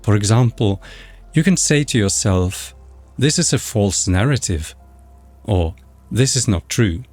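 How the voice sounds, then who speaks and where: Slow, low-voiced man guiding meditation. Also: animated, a little mellow, husky, no echo. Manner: measured, instructional, scripted delivery. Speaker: meditation guide. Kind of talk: guided meditation narration. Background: background music.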